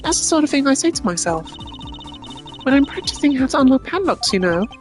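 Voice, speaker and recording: A woman's voice: high voice, big pitch swings, brisk. A woman voicing a perky, cheeky young girl sidekick in a video game, chirpy, informal and encouraging. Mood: helpful, upbeat. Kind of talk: hobby voice acting of a video game. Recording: music behind the voice.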